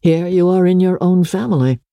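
A woman voicing a genteel, smooth-talking young gentleman officer in a dramatic audiobook, courteous, confiding and a little hesitant. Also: on the low side, moderate pace, no room sound, dull audio, voice pushed up, close to an everyday voice. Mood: guarded. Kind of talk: dramatic audiobook character reading.

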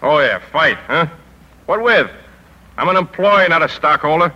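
An actor playing a middle-aged, hard-driving, cynical newspaper editor in a 1950s radio drama, with a punchy, world-weary delivery. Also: male voice, a little high, animated, normal speed, bright, forceful tone, muffled recording, heavily acted. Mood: drunk, agitated.

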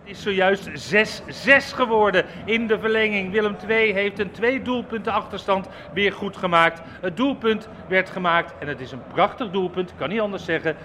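A man with a high voice reports on a football match live on the radio. He speaks as a commentator from an outdoor ground, with some noise around him. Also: normal speed, hardly any pauses, sharp tone.

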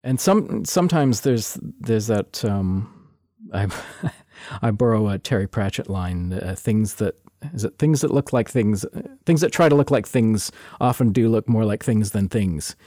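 Mellow, low-voiced man chatting casually. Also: measured pace, dry recording, clean studio sound. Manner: relaxed, laughs often, frequent restarts. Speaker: sound designer guest. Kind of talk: casual interview chat. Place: lives in Toronto.

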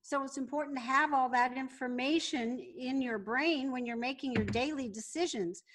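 A woman's voice: sits high, normal speed, a bit bright. A woman speaking as an interview guest, laying out business advice in a conversational, instructive and methodical way. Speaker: podcast guest, business founder.

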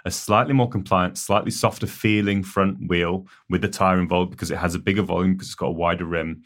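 A man in a casual technical chat, relaxed and hedging with "you know" and "like" as he explains his testing. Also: sits low, brisk. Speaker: technical editor, wheel tester.

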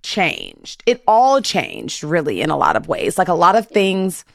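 A woman's voice: on the low side, huge pitch swings, measured pace, gravelly, no echo. Encouraging woman host, close dry sound. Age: 30s. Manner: affirming, encouraging, reflective. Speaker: interview host. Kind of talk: casual supportive interview chat.

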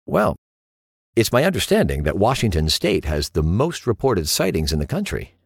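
An actor playing a calm, attentive male late-night radio host, measured and courteous as he probes his callers. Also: sits low, vocal fry, breathy edge, no echo, clean studio sound, full character voice. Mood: curious, probing. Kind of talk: radio call-in acting in audio drama.

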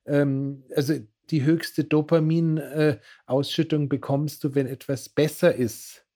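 A man's voice: fairly high pitch, flat delivery, a little slow, mellow. A man explaining science on a podcast in long, winding sentences full of fillers. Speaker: biohacking expert guest.

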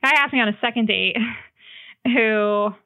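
A woman's voice: on the high side, a little slow. A woman guest on a podcast telling personal stories in casual chat, animatedly acting out what people said, over a remote call. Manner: animated storyteller, acts out quoted lines. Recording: phone-like remote call audio.

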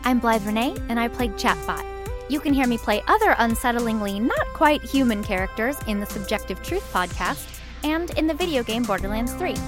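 A woman's voice: fairly high pitch, lively intonation, normal speed, over music. A woman, a voice actor, giving a self-introduction for podcast credits.

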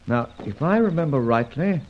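An actor playing a shrewd, well-spoken gentleman adventurer in an old-time radio serial, clipped and giving orders with old-fashioned slang. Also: mid-range voice, lively intonation, normal speed, lightly acted. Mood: alert, intrigued. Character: shrewd, commanding gentleman adventurer, brisk male leader. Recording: muffled, noisy old radio recording.

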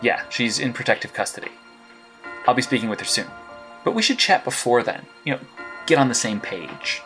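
Brief: male actor as a persistent licensed hero detective in an audio drama, crisp bright edge. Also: medium pitch, big pitch swings, brisk, faint music behind. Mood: probing. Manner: probing, persistent questioning.

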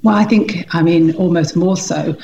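A woman's voice: fairly low pitch, fairly even intonation, moderate pace, creaky, warm tone. A woman who grew up in Britain, explaining things conversationally as an interview guest, on muffled, noisy-sounding audio. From Britain, mostly Scotland. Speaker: podcast guest, salt producer.

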